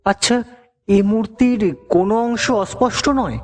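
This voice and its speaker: A man narrating a scripted horror story in a dramatic, measured, suspenseful manner. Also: high-pitched, soft background music.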